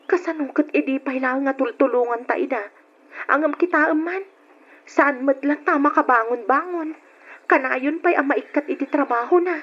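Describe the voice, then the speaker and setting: A woman's voice: high voice, moderate pace, lo-fi sound, close to an everyday voice. A woman acting in an old radio drama as a suspicious, protective wife, pointed and reproachful. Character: suspicious, protective wife wary of freeloading relatives.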